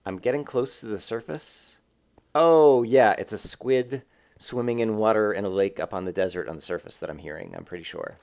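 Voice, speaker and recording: A man's voice: fairly low pitch, normal speed, creaky, a little mellow. A man hosting a game let's play, thinking aloud in casual, rambling commentary full of fillers, sounding slightly stuffed up.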